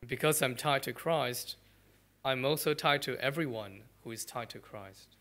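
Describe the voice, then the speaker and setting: A man's voice: mid-range voice, unhurried, fairly bright tone. A man from Malaysia with a Malaysian accent, preaching a sermon as a pastor in short, earnest phrases. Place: church.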